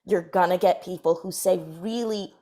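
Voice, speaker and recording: A woman's voice: lowish voice, moderate pace, mellow. A woman speaking earnestly and emphatically as a panelist, giving advice in a discussion. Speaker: panelist, fiction podcast creator.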